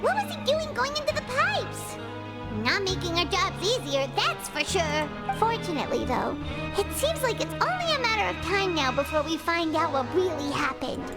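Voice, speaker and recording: Super high squeaky little kid game sidekick, excitable, bouncy intonation. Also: female voice, moderate pace, over loud music, heavily acted. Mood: pondering. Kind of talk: video game voice acting.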